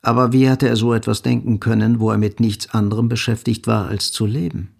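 A man's voice: on the low side, flat delivery, fairly quick, vocal fry, a little mellow. A man narrating a German radio drama in a scripted, literary style.

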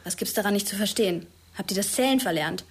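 A woman's voice: mid-range voice, fast talker, breathy edge, close to an everyday voice. An actress playing a provocative, streetwise young woman in a naturalistic German radio drama. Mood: taunting. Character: provocative young woman trapped in a besieged school. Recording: audible background noise.

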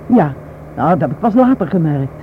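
Animated woman, tinny noisy recording. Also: deep voice, a little fast. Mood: thoughtful. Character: concerned, thoughtful woman friend back from travels. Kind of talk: radio play acting. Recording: noisy, tinny narrow-band radio play recording.

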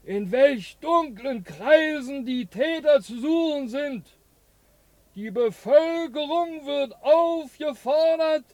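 An actor playing a pompous Prussian official, stiffly declaiming a formal public notice in a radio play. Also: male voice, very high voice, darkish tone, no echo, full character voice.

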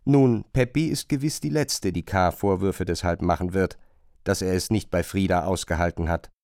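A man's voice: low voice, moderate pace, darkish tone, clean tone, no room sound, studio quality. A man narrating a radio drama in a scripted, literary style.

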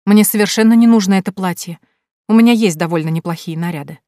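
A woman voicing a tearful young female impostor who pleads in a high, sobbing voice. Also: fast, warm tone, no echo, close to an everyday voice. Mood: fawning. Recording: dry close recording.

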